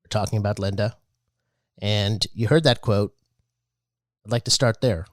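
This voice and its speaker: A man hosting a podcast, giving scripted public-radio-style narration in a measured, earnest way. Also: lowish voice, steady tone, no room sound, studio quality. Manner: measured, earnest storytelling delivery. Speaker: podcast host.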